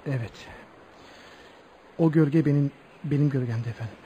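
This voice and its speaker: A man's voice: medium pitch, moderate pace, dull audio, a little hiss or hum, natural voice. An actor playing a young accused tribesman, sincere, sorrowful and pleading, in a Turkish radio play. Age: young adult. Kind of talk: Turkish radio theatre acting.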